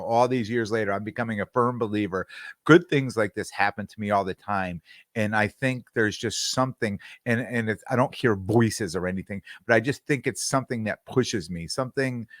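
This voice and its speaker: A man's voice: medium pitch, no room sound, clean studio sound. A middle-aged man co-hosting a casual podcast, rambling and repeating words as he tells stories. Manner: rambling, repeats words while searching for them. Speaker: podcast co-host. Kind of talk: casual chat.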